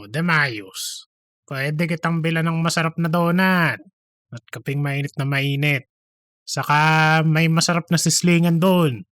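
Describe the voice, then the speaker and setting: A woman's voice: low-pitched, a bit bright, no room sound, studio quality, pitched below the performer's own voice. A woman voicing a careless, sweet-talking male office womanizer in a comedic audiobook. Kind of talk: comedic audiobook character acting.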